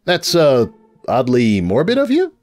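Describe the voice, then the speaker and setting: A man's voice: medium pitch, huge pitch swings, unhurried, darkish tone, faint music behind, pitched above the performer's own voice. A man voicing an undead young female pony, earnest, upbeat and awkward, in a cartoon story reading. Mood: awkward, uneasy.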